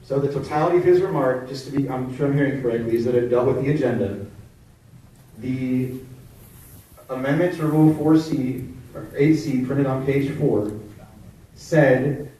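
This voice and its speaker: A man's voice: medium pitch, moderate pace, pauses often, dark tone. A man who is a delegate questioning the chair at a meeting, insistent and careful about exact wording. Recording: echoey hall from far off.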